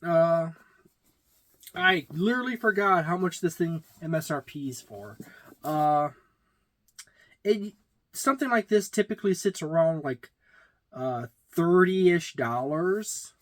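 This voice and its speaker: A middle-aged man giving a casual, halting, matter-of-fact unboxing and review, recorded in a small room. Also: a little high, expressive. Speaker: tabletop game reviewer. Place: small indoor room with packed shelves.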